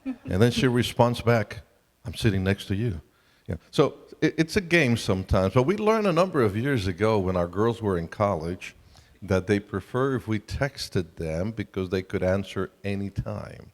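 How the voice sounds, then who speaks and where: Deep-voiced Colombian man speaking in a hall. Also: big pitch swings, moderate pace. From Colombia, South America. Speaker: seminar speaker. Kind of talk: conversational religious family seminar to a live audience. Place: large hall at a camp meeting. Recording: reverberant hall sound system.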